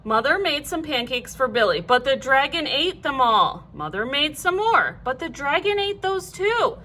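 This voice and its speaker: A woman reading a children's storybook aloud like a teacher, in an animated, clearly phrased way. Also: sits high, normal speed, slight background noise.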